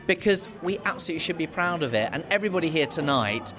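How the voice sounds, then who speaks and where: A man's voice: a little high, quick pace, over music. Effusive man at an awards event. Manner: effusive, gushing, lively. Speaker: awards organiser and guest. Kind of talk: enthusiastic interview answers.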